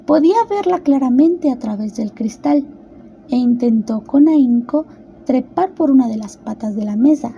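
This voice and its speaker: A woman reading a children's tale as an expressive scripted storybook narrator. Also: high-pitched, creaky, lo-fi sound, a little hiss or hum.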